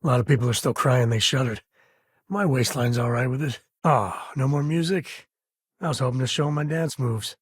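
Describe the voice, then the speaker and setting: A man acting a husky, easygoing space-frontier ranger. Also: medium pitch, normal speed, dry recording, studio quality, natural voice. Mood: wry, easygoing. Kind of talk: video game voice acting.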